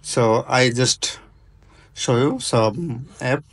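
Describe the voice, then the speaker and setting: A male tutorial host talks slowly through step-by-step instructions at a medium pitch. His non-native English comes in short, choppy phrases, with a halting, informal delivery. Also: slightly rough.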